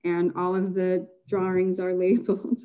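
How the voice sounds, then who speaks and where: A woman's voice: lowish voice, completely flat delivery, normal speed, warm tone, dull audio. A young woman chatting as a guest in an interview, laughing often and using many fillers. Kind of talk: casual interview chat.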